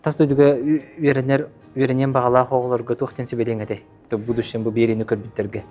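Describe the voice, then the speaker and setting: A man's voice: mid-range voice, level tone, brisk, a little mellow. A man works as an interviewer, asking questions in a casual way. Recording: faint music behind the voice. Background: faint background music.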